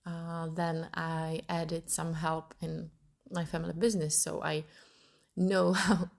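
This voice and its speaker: A woman's voice: deep voice, little pitch movement, slow, vocal fry. A woman in her thirties from Italy, hosting her own podcast and thinking aloud as she searches for her words. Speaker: podcast host. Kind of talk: personal podcast monologue.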